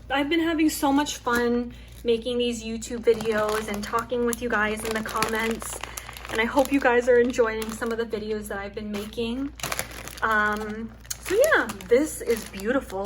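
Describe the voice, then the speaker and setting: A young woman with a soft, calming voice chatting casually and rambling with lots of fillers as she hosts a craft video at home. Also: a little high, unhurried, noisy background. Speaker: craft channel host. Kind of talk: casual chat while chopping wax. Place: home with her dog nearby.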